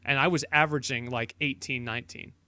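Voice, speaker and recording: Fast-talking man bantering on a podcast. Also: mid-range voice, steady tone, bright, forceful tone, smooth, dry recording. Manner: teasing, quick banter. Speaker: podcast co-host. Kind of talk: casual gaming podcast chat.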